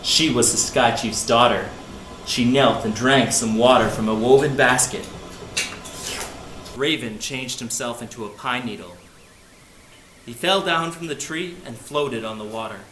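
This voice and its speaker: A male storyteller narrates a folk tale for children in short, simple phrases. His medium-pitched voice rings in an echoey room with faint noise behind it. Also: unhurried, long pauses, a bit bright.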